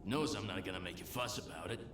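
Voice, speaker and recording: A man playing a world-weary, pragmatic bartender in a lawless zone, with gruff, slangy video game dialogue. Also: deep voice, quick pace, close to an everyday voice. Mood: shrewd. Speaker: bartender character. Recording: roomy echo with noisy game ambience.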